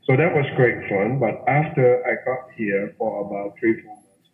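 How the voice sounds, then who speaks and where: A middle-aged man from Thailand, a hotel general manager answering interview questions in a lively way, often repeating words for emphasis. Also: medium pitch, normal speed. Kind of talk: interview guest. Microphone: phone or call line. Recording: tinny phone line, roomy echo.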